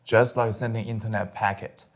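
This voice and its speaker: A young man from Singapore, a guest on an interview podcast, talking in a quick, upbeat way with lots of "like". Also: fairly low pitch, level tone. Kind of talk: casual interview.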